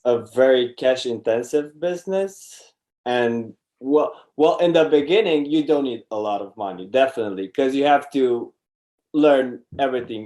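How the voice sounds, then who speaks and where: A man's voice: mid-range voice, darkish tone. Emphatic man with a Romanian accent. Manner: emphatic, repeats phrases. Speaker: interview guest, online seller. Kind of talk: casual interview.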